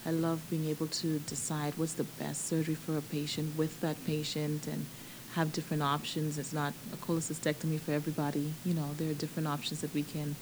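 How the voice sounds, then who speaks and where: Low-voiced woman surgeon. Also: completely flat delivery, brisk, noisy background. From Bahamas. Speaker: surgeon, interview guest. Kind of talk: conversational interview answers.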